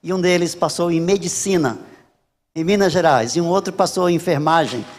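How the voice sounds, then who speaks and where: A Brazilian man preaching a sermon as a Baptist pastor, emphatic and repetitive, with deliberate pauses. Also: fairly high pitch, long reverb tail. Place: large church hall during a service.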